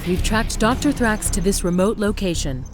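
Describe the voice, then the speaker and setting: A man's voice: high-pitched, moderate pace, noisy background, natural voice. An actor playing a confident male army officer giving a scripted mission briefing in a video game. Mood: urgent.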